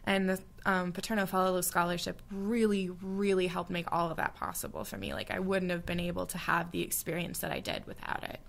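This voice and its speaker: A woman's voice: on the low side, expressive, brisk, vocal fry, hardly any pauses, a bit bright. A young woman from the United States, a study abroad alumna, giving earnest, heartfelt reflections in an interview, with frequent fillers. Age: young adult.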